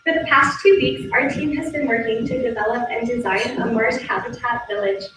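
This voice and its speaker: A woman's voice: on the high side, normal speed. A young woman from Texas giving a prepared team presentation as a student. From Texas, United States. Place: large echoey indoor room. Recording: echoey hall from far off, noisy.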